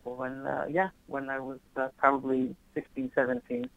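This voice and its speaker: A man's voice: medium pitch, moderate pace, vocal fry, dry recording. A man from Israel, a cantor, telling his story fluently and earnestly in a radio interview by phone. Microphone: telephone. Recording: muffled phone line.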